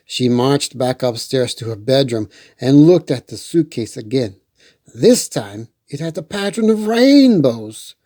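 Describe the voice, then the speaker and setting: A children's storytime host reads a picture book aloud in a soft-edged, mellow tone. The pace is slow and the pitch swings widely, with expressive storytelling and playful little asides. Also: dry recording, clean studio sound.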